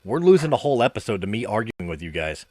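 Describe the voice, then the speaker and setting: A man's voice: lowish voice, big pitch swings, quick pace, noisy background. A man hosting a casual podcast chat. He rambles, repeats himself and laughs often. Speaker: podcast host.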